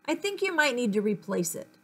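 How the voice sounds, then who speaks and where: A woman's voice: fairly high pitch, very animated, a little fast, fairly bright tone, voice pushed up, full character voice. Storybook teacher, female: busy, brusque, curt, no patience for fuss , played by a woman reader.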